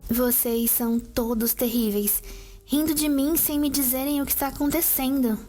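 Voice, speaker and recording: A woman's voice: high voice, steady tone, moderate pace, dark tone, soft background music. A woman playing a sweet, eager young vampire sister in an ASMR roleplay, talking in a chatty, girlish way. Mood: confused, indignant.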